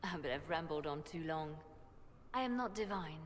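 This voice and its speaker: A woman's voice: sits low, lively intonation, normal speed. Earnest reformist woman in a reverberant hall. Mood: impassioned, persuasive. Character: idealistic, reform-minded woman spymaster seeking high church office. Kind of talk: scripted video game dialogue. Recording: long hall reverb, faint noise and ambience.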